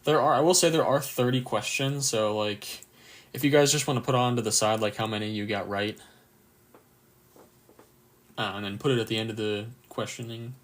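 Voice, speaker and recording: A man with a croaky, just-woken-up voice runs a casual live quiz for anatomy students, reading answer options and cheering on viewers' replies. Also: medium pitch, steady tone, normal speed, pauses often. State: just woke up, a bit tired. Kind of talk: casual livestream quiz review.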